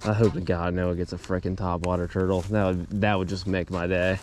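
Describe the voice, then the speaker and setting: A man's voice: low-pitched, little pitch movement, a little fast, darkish tone, slight background noise. A man hosting a fishing video, speaking to viewers in a casual, slangy way. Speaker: fishing video host and competitor. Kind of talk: casual outdoor vlog chat with a scripted sponsor read.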